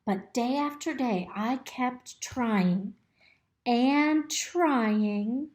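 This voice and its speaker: Woman reading a kids picture book out loud, kinda high voice, slow n expressive, echoey room. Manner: expressive, instructive, speaking simply to children. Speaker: storybook reader. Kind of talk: reading a picture book aloud to young children, pausing to ask questions. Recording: roomy, echoey indoor recording.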